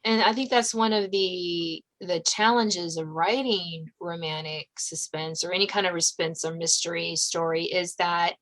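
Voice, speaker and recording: A woman's voice: lowish voice, fairly even intonation, measured pace, hardly any pauses, fairly bright tone, poor audio. A woman hosting a podcast in casual conversation, talking emphatically and expressively as she explains. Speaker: podcast host, suspense author. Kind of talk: casual interview chat.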